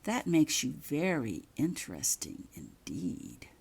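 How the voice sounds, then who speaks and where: A woman voicing a coldly controlled, menacing male headmaster in a story, speaking softly and slowly, near a whisper, with weighted pauses. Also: deep voice, huge pitch swings, gravelly, slightly rough, exaggerated voice. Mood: quietly menacing. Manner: slow, deliberate, with weighted pauses.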